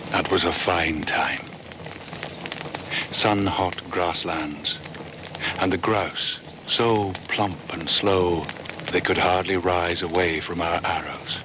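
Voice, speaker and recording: An actor playing a prehistoric reindeer hunter in a radio drama, recalling the past in a measured, reflective, wistful way. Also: male voice, low voice, fairly even intonation. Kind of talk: dramatic radio play monologue. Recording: noisy rain-like background ambience.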